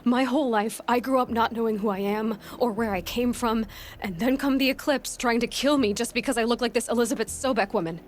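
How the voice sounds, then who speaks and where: A woman's voice: fairly high pitch, a little fast, faint music behind, natural voice. Woman acting headstrong, defiant young game heroine. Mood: frustrated, hurt. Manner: blunt, challenging, emotionally driven. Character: headstrong, defiant young female outcast searching for answers. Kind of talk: dramatic video game dialogue.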